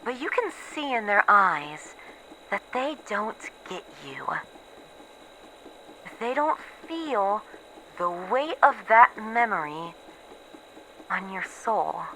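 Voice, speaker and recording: A woman playing a weary, haunted researcher who is losing her memories, in a halting, introspective horror audio roleplay monologue. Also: mid-range voice, sing-song, bright, forceful tone, slight background noise, exaggerated voice, low-quality recording. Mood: wistful, troubled. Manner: halting, introspective, pausing between short phrases.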